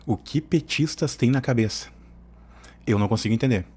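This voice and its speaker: A man's voice: mid-range voice, fairly quick, a little mellow, breathy edge. A man giving informal, opinionated political commentary as a channel host, often digressing in colloquial speech. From southern Brazil.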